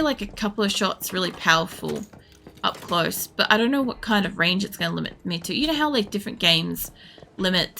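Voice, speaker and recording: Creaky-voiced woman game streamer. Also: lowish voice, bright, forceful tone, a little hiss or hum. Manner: sweary, blunt, thinking aloud. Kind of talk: casual live gameplay commentary, rambling chat.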